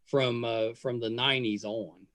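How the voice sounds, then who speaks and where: A middle-aged man hosting a podcast, chatting casually in a halting, gushing and effusive way. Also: medium pitch, fairly even intonation, fairly bright tone, dry recording. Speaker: podcast host. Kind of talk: casual interview chat and sign-off.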